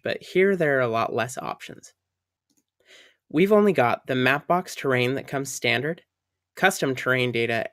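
A man narrating a screen-recorded software tutorial, explaining settings in a friendly, upbeat way. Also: medium pitch, no room sound, studio quality.

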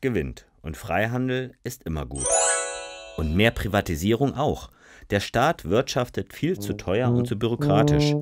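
Low, animated man playing a smug free-trade fairy. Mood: dismissive. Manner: salesman-like, emphatic, theatrical. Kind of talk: scripted fairy-tale advocate in animated explainer. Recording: music behind the voice.

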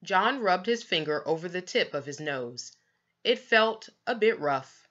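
A woman reads a children's story aloud, leaning deliberately on key words. Her voice is fairly low, bright and lively in its rise and fall. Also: highs cut off. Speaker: children's book narrator. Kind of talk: expressive read-aloud storytelling.

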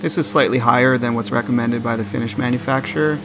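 A man's voice: mid-range voice, fairly even intonation, quick pace, noisy background. A man narrating a woodworking build step by step in a plain, matter-of-fact voice-over. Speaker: woodworking channel host.

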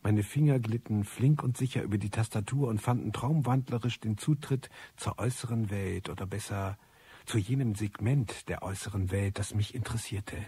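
An actor playing a disillusioned, bitter loner, narrating a radio drama in a husky, articulate voice. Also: male voice, lowish voice, moderate pace, warm tone. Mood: absorbed. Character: disillusioned, bitter male loner, obsessive computer eavesdropper.

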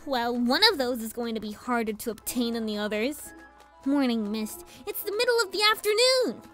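A woman's voice: on the high side, animated, normal speed, fairly bright tone, soft background music. A woman voicing a sassy, scheming young filly pony villain in a cartoon-style fan audio drama. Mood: scheming, smug, then indignant. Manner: sassy, defensive.

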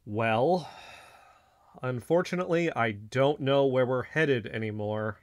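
An actor playing an excitable, anxious amateur investigator in an audio fiction podcast, speaking haltingly and emotionally. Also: mid-range voice, no echo, studio quality, close to an everyday voice. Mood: subdued.

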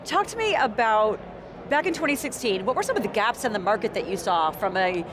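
A middle-aged woman hosting a live interview, speaking in a bright, brisk presenter manner. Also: medium pitch, lively intonation. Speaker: host. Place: convention hall set. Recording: trade show noise behind.

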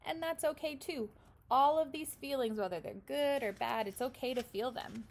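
A woman's voice: high voice, moderate pace, creaky. A woman in her twenties, a pre-kindergarten teacher, reading a picture book aloud and explaining it simply and expressively with questions for young children. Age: 20s. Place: small room at home, indoors.

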